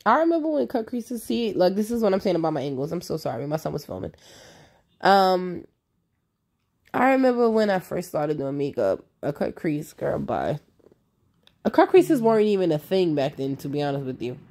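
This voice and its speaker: A woman's voice: fairly low pitch, big pitch swings, normal speed, a little mellow. A woman in her thirties, a makeup artist with a cold and a nasal voice, narrating her tutorial over the footage in a sassy, emphatic way. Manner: sassy, emphatic, talks to viewers as "girl".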